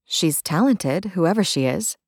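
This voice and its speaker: A woman's voice: fairly low pitch, lively intonation, a little slow, breathy edge, dry recording, studio quality, exaggerated voice. A woman voicing a stubborn, perceptive young fantasy sister, earnest and challenging, in an audiobook. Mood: probing.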